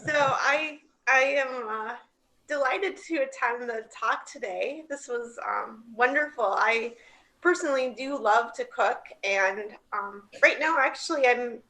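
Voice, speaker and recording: Bright-voiced woman on a video call. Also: on the high side, unhurried, a little hiss or hum, rough recording. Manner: friendly, hesitant, laughs lightly. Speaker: participant. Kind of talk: casual remarks on a video call.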